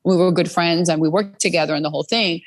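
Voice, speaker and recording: A woman's voice: fairly low pitch, steady tone, fast talker, no room sound. A middle-aged American woman telling her personal story as an interview guest, earnest and full of "you know" fillers. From United States.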